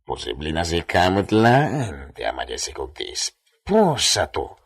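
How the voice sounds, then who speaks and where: A sly, insinuating confidant in a radio drama. His voice is deep and raspy, swinging dramatically in pitch, recorded dry and close. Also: huge pitch swings, unhurried, no echo, heavily acted. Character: sly, insinuating male friend of wealthy landowner.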